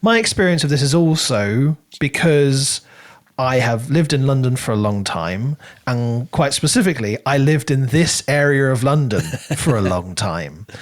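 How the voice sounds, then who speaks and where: A man's voice: mid-range voice, expressive, unhurried. A man co-hosting a podcast, talking casually and chattily through a book discussion with little self-quoting asides. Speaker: podcast co-host reviewing books.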